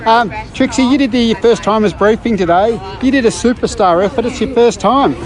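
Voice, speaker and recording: Chatty high-voiced man outdoors. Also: crowd noise. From Victoria, Australia. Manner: upbeat, chatty, quick to joke. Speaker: podcast host doing roving interviews. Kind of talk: casual roving interview. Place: outdoors at a park run event.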